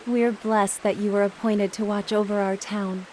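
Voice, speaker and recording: A woman's voice: mid-range voice, little pitch movement, moderate pace, warm tone, a little hiss or hum, close to an everyday voice, low-quality recording. A woman voicing an earnest, respectful young townswoman in a video game, polite and sincere. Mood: grateful. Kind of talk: scripted video game voice acting.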